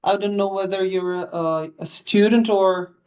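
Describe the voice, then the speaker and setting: A woman's voice: medium pitch, fairly even intonation, measured pace, darkish tone. A woman moderating a workshop, calling on each participant to introduce themselves. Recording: slightly lo-fi call audio.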